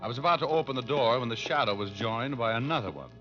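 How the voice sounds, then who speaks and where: A man's voice: mid-range voice, animated, fast talker, fairly bright tone, lightly acted. An American man playing a hard-boiled, wisecracking private detective in a vintage radio drama, breezy and sardonic. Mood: wry narrating. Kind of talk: 1940s-50s radio detective drama, wry narration. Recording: muffled old radio recording with music.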